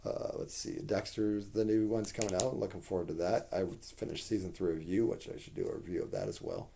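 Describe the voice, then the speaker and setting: Hoarse, gravelly low-voiced man. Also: steady tone, brisk, warm tone, a little hiss or hum. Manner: rambling and candid, with frequent fillers and swearing. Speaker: TV reviewer. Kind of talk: casual spoken review.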